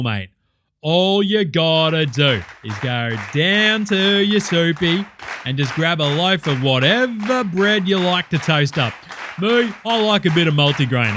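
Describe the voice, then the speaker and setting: A man's voice: a little high, background noise. A man playing a brash, crude male TV cooking host with an Australian accent, full of slang and swearing as he talks to a studio audience. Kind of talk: comic sketch, cooking show parody.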